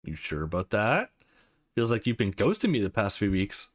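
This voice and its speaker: A man's voice: fairly low pitch, very animated, moderate pace, pitched below the performer's own voice. Man reading easygoing, supportive young man. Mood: reassuring.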